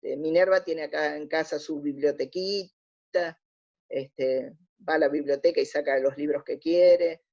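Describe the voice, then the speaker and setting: A woman's voice: low-pitched, fairly even intonation, measured pace, mellow. Older Buenos Aires woman, dull lo-fi recording. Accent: Buenos Aires Spanish. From Argentina. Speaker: interview guest. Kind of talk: conversational reminiscing in an interview. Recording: dull lo-fi audio.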